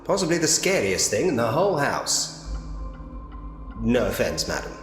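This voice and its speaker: A man's voice: mid-range voice, big pitch swings, normal speed, close to an everyday voice. A man voicing a polite, drily whimsical Victorian police inspector who muses to himself in an adventure game. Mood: drily amused. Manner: musing to himself, genteel, drily humorous. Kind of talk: point-and-click adventure game voice acting. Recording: reverberant room with long echo. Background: game music.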